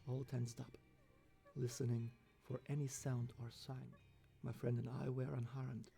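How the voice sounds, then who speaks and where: A man's voice: medium pitch, vocal fry, mellow. A man reading a scripted first-person horror story as its narrator. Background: background music.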